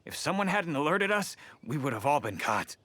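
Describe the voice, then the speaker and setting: Flustered video game thief, bright forceful tone, lively pitch, chatty, sheepish. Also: male voice, fairly quick, dry recording, heavily acted. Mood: chatty, puzzled. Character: sheepish bandit underling, chatty and easily flustered. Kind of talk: video game story dialogue.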